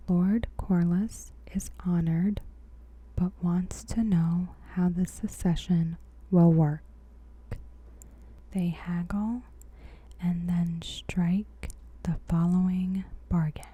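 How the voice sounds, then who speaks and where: A woman softly whispering a scripted recap in ASMR style, breathy and gentle, with deliberate pauses. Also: fairly low pitch. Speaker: ASMR host.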